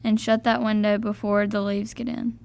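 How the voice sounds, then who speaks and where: A woman's voice: medium pitch, level tone, moderate pace, gravelly, a little mellow, dry recording, lightly acted. A teenage female playing a practical, protective big sister in an amateur radio play, matter-of-fact in delivery. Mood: bossy.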